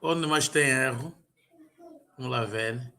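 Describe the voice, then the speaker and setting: A man's voice: mid-range voice, takes it slowly, sharp tone, slight background noise. A man tutoring a student in an informal lesson, patiently repeating and drilling words. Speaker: language tutor.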